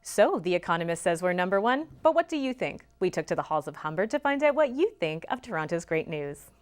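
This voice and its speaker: A young female news anchor with a fairly low voice delivers the headlines in a crisp, measured newsreader cadence. She is in a campus newsroom studio, and soft music sits faintly under her voice. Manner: crisp, even newscaster delivery. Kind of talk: scripted news reading.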